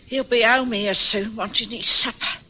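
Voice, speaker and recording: An actress playing a frail, long-suffering, ailing elderly wife in a radio drama, halting and plaintive. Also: female voice, fairly high pitch, fairly even intonation, pushed and bright, slight background noise, low-quality recording. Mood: weary resignation. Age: older. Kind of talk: old-time radio drama acting.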